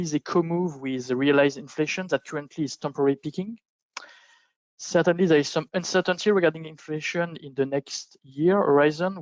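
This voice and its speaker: A man speaking as an investment panelist, giving formal, measured, prepared-sounding explanations on a conference call. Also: a little high, no room sound, rough recording. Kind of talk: prepared roundtable commentary on markets.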